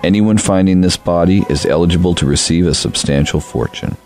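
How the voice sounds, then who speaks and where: A man voicing a formal, measured official reading documents aloud in a radio play. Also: low voice, darkish tone, faint music behind.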